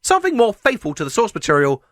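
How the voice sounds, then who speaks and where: A man's voice: high-pitched, wildly swooping pitch, fast, no room sound, clean studio sound. A man hosting a video essay, reading scripted commentary with wry, sarcastic asides.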